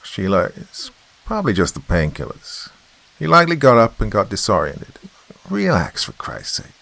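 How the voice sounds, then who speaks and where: Man acting a placating, gravelly father. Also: on the low side, huge pitch swings, moderate pace, sharp tone, no echo, voice pushed up, full character voice. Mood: dismissive. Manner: placating, reasoning. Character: bewildered father trying to stay calm and reasonable.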